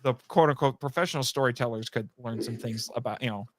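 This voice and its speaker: A man chatting casually as a podcast guest, animated, often interrupting himself and thinking out loud. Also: mid-range voice, fast talker, no room sound. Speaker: guest, audio drama writer and voice actor. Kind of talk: casual fan chat.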